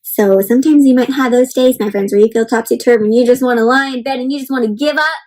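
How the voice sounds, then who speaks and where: A woman's voice: on the high side, fast, a little mellow. A woman hosting an interactive children's picture-book read-aloud, speaking expressively and encouragingly in simple phrases and putting questions to her young listeners.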